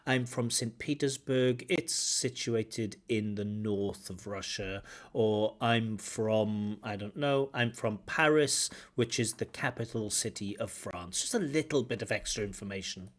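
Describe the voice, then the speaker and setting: A man from Wales, an English examiner, giving feedback on a speaking test and explaining points with examples and gentle corrections. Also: lowish voice, measured pace, few pauses. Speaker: IELTS examiner and teacher. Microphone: video call.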